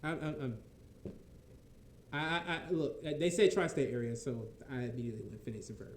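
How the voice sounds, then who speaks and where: A man's voice: medium pitch, steady tone, brisk, roomy sound, a little hiss or hum. A man giving casual reaction commentary, stammering and repeating his words for emphasis. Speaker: reaction video host.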